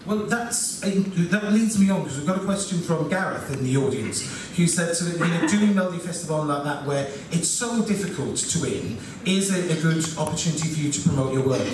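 Playful male host, lively pitch, echoey hall. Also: brisk, few pauses. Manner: playful, teasing, laughing. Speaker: panel host. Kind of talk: live panel Q&A. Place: event hall with audience. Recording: echoey hall, distant mic, audible noise.

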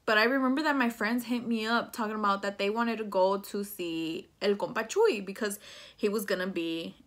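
A woman's voice: medium pitch, normal speed, a bit bright. A woman, telling a personal story in a casual, slangy way, slipping between English and Spanish. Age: 20s. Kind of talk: casual storytime chat to camera. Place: small plain room indoors.

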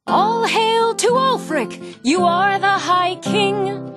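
A woman's voice: very high-pitched, slow. A woman performing as a female tavern bard, singing heroic ballads in a fantasy video game. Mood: rousing, singing. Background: plucked string instrument music.

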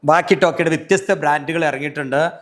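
A man's voice: fairly high pitch, quick pace. A middle-aged man, hosting a casual promotional product interview in a talkative way, often prompting with tag questions. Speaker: video host interviewing a salesman.

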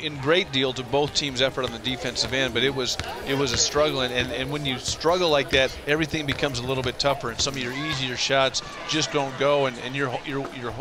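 A man's voice: medium pitch, steady tone, fairly quick, hardly any pauses, music in the background. A man in his thirties, a color analyst breaking down a basketball game at a courtside arena desk, talking conversationally into a headset mic and often repeating words as he starts phrases. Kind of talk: postgame analysis commentary.

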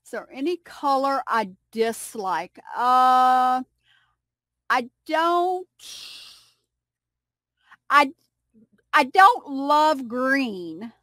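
An older woman from Kentucky with a Kentucky accent, chatting slowly on a video call through a headset and explaining with many restarts. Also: high voice, expressive, a bit bright, dry recording, studio quality. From United States. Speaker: English conversation partner. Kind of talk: casual video call chat. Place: home room indoors.

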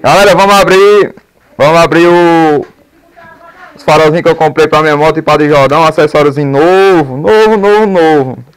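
A man's voice: brisk, clean tone, no room sound. A man chatting casually and slangily as a motovlogger. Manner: informal, slangy. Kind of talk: casual vlog chat. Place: riding a motorbike on rural dirt roads, later a dim room. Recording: noisy action-camera audio, distant voice, motorcycle rumble.

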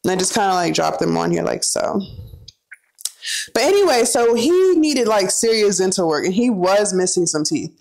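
A woman's voice: mid-range voice, expressive, normal speed, vocal fry, a little mellow. A young woman vlogger chatting casually as she cooks, telling a gossipy story full of "like" and "you know" while walking through each kitchen step. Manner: cooks while talking, narrating kitchen steps.

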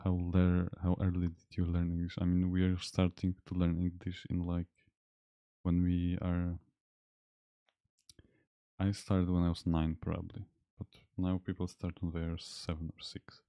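A young man from Poland with a Polish accent, chatting casually while streaming a game, halting and correcting himself as he reads chat aloud. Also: low-pitched, completely flat delivery, mellow, no room sound. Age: young adult. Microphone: desk microphone. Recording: game music behind. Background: faint game music.

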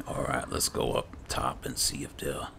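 Male game streamer, rock-bottom pitch, heavy creak, muttering casual roleplay commentary. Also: level tone, moderate pace, a bit bright, slight background noise. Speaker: gaming video host. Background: game music.

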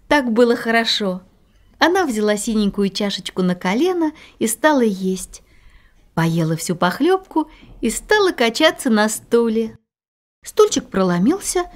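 A woman narrating a children's fairy tale in an expressive, sing-song, deliberate storytelling manner. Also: medium pitch.

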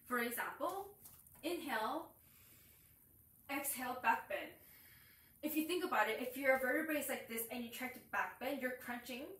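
A young woman teaching a yoga class in a small room, her voice set a little high and caught from across the room by a distant camera. The room adds a clear echo. She explains each point and breaks off to cue inhales and exhales as she moves through the poses. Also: a bit bright. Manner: explains while demonstrating poses, cueing breaths. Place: small room with curtains and yoga mat, indoors. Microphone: far camera. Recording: echoey room, far from mic.

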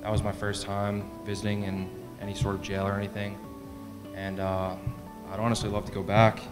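A man's voice: lowish voice, monotone, brisk, over music. A young man giving casual remarks to an audience at a podium microphone in a meeting room. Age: young adult. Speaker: student participant. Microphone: podium gooseneck microphone.

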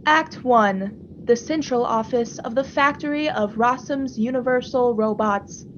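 A woman announcing a radio drama in a formal, measured, theatrical manner. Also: on the high side, a little hiss or hum. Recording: slightly muffled radio-style recording.

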